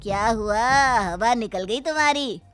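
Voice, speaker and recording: A woman's voice: medium pitch, lively intonation, a little slow, faint music behind, lightly acted. A woman voicing a boastful forest tribesman in a cartoon story, taunting and challenging with menace.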